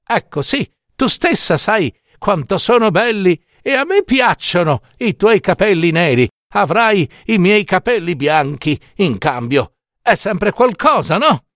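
A man's voice: high-pitched, sing-song, unhurried, a bit bright, dry recording, clean studio sound, pitched above the performer's own voice, heavily acted. A man voicing a bossy old woman from a storybook, insistent and urgent in manner. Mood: wheedling, bargaining. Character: bossy old woman tending Death's greenhouse.

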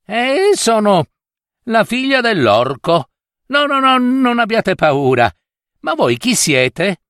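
A man's voice: high voice, sing-song, slow, a bit bright, no echo, clean studio sound, pitched above the performer's own voice, full character voice. A man voicing an ogre's kind, anxious, wily young daughter in a fairy tale, pleading and coaxing. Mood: reassuring.

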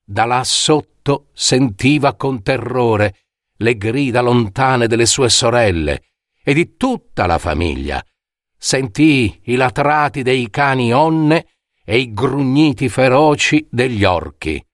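A man narrating a scripted fairy tale in a measured, dramatic way with deliberate pauses. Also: medium pitch, lively intonation, dry recording, studio quality. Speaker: storyteller.

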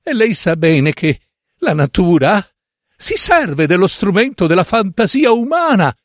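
A man's voice: high voice, very animated, a little slow, no echo, clean studio sound, voice pushed up. A man voicing a desperate male character, a doctor, who pleads and then protests indignantly in a dramatic story reading. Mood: insistent.

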